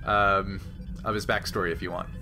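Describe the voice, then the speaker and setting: A man's voice: sits low, little pitch movement, normal speed, bright, forceful tone, faint music behind. A man running a tabletop game as game master, casually explaining rules in a hesitant, step-by-step way. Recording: noisy background ambience.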